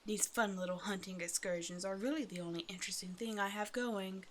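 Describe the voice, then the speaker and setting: Over a clearly noisy ambient bed comes a self-sufficient, predatory female naga, played by a woman. Her voice sits at an average female pitch. She speaks straight to the listener in ASMR roleplay style, toying with them in a condescending, casually threatening way. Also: steady tone, fairly quick, lightly acted. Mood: bored, musing. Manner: teasing, condescending, casual conversational menace. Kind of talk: ASMR roleplay, one-sided scripted dialogue to the listener.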